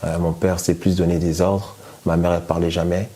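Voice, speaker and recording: A man's voice: low voice, little pitch movement, brisk, mellow. A man born in France telling his personal testimony in a hesitant way, with many fillers and restarts. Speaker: guest giving testimony.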